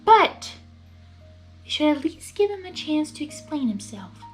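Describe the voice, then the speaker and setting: A woman puts on a gentle female pony from a fan fiction story. The voice rises high and light, earnest and exclaiming, over music. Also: very animated, unhurried. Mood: earnest reproach. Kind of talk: fan fiction character reading.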